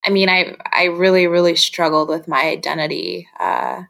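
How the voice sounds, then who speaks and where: Lower-pitched young woman, candid and reflective. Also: fairly even intonation, normal speed, creaky, a bit bright. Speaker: interview guest. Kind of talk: casual personal storytelling.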